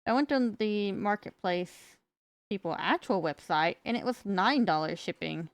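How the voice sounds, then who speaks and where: A woman from North Carolina, with a Southern US accent blended with Australian, chatting as a guest on a livestream and telling stories in a quick, exasperated way. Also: medium pitch, no room sound. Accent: Southern US mixed with Australian. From North Carolina, USA. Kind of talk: casual chat.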